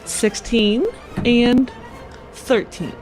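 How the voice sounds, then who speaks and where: A woman's voice: on the high side, steady tone, drawn-out words, a little mellow. A woman playing a tabletop game, casually describing what her character does. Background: music.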